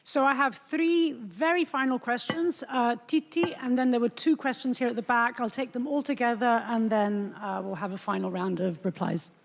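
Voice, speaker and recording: A woman moderating a panel, briskly directing the speakers and questions. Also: fairly high pitch, steady tone, creaky. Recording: long reverb of a large hall.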